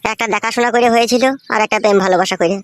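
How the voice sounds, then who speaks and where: Hapless, very fast-talking village husband played by a woman. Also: on the high side, steady tone, lightly acted. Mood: sheepish. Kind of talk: comedy cartoon dub.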